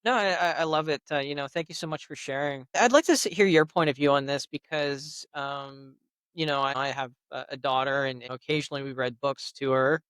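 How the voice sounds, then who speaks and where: A male podcast host with a smooth, resonant voice asks friendly, casual questions, often stumbling over the first words. The recording is dry, with no room around it. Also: medium pitch, fairly bright tone, no room sound. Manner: friendly, halting starts.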